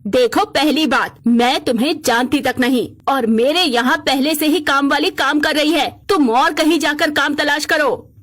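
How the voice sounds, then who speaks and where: A woman's voice: high voice, measured pace, few pauses, a bit bright. A woman voicing a haughty, suspicious rich lady of the house in a cartoon story, brusque and dismissive.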